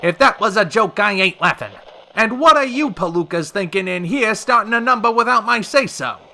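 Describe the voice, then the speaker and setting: A man playing a short-tempered, wisecracking talk show host in an old-time radio drama, fast-talking in theatrical period slang. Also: high voice, expressive, vocal fry, pushed and bright, exaggerated voice. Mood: angry, sarcastic.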